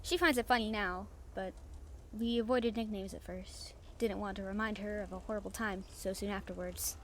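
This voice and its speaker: A woman playing a brash but warm-hearted female pirate captain in an audio roleplay, confiding and casually salty. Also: medium pitch, steady tone, normal speed, natural voice. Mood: serious, confiding. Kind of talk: audio roleplay acting, chatting to the listener. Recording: faint background noise and ambience.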